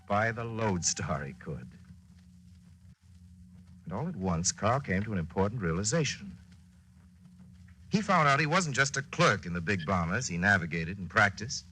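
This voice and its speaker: A man's voice: fairly low pitch, lively intonation, normal speed, long pauses, pushed and bright, slight background noise, low-quality recording. A male actor playing a wry, folksy, dramatic storyteller narrating a wartime radio play in 1940s style. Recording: old radio recording with music.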